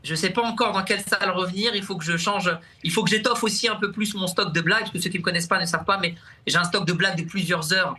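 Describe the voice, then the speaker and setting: Bright, high-pitched man chatting, stumbling. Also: steady tone. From France. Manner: halting, repeats words, laughs often. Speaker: comedian guest. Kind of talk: casual talk-show chat. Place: TV studio.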